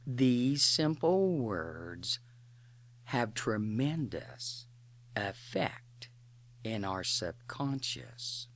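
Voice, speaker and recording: A guided imagery narrator speaking a slow meditation script in short, deliberate phrases with pauses between them. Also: mid-range voice, sing-song.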